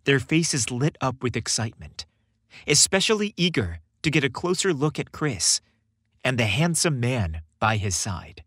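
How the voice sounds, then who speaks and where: A man's voice: medium pitch, very animated, measured pace, fairly bright tone, breathy edge, no room sound, studio quality. A man reading a scripted story as a narrator, with dramatic storytelling delivery. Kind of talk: scripted audiobook-style narration.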